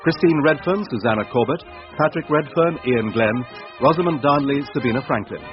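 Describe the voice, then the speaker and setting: A man's voice: mid-range voice, a little fast. A man reading formal, scripted announcements as a radio announcer. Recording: dull radio recording over music. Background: faint music.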